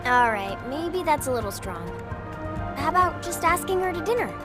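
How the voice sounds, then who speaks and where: A woman's voice: high voice, very animated, normal speed. A woman playing a bubbly, teasing, outspoken teenage girl in a video game scene. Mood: upbeat. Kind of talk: video game cutscene acting. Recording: music behind the voice.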